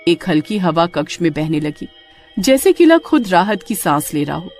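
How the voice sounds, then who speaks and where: A woman's voice: lowish voice, very animated, moderate pace, a little mellow, faint music behind. A woman reading a scripted story as narrator, in a dramatic storytelling manner.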